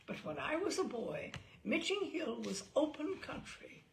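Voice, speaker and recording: A woman's voice: sits high, very animated, a little slow, vocal fry, slight background noise, voice pushed up, full character voice. A woman voicing a mischievous English lord of about sixty, grand and leisurely, relishing his words, in a storybook reading. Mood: jaunty, nostalgic. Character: jaunty, mischievous sixty-ish English lord, an incorrigible uncle.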